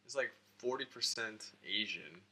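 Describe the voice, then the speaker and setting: A man's voice: lowish voice, a little slow, bright, forceful tone, husky, rough recording. An American man chatting casually as an interview guest, rambling along informally with lots of filler "like". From United States (Florida and Georgia).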